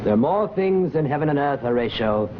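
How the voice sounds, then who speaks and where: An actor playing a rapt, insistent young believer in the occult in an old-time radio drama. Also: male voice, mid-range voice, expressive, a little fast, close to an everyday voice. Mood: rapt, fervent. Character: thin young dreamer, fervent believer in the occult. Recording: muffled, noisy old radio recording.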